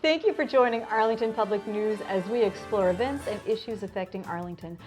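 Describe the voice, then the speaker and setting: Lady news anchor, mid pitch, bit of chatty banter, light music underneath. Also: brisk.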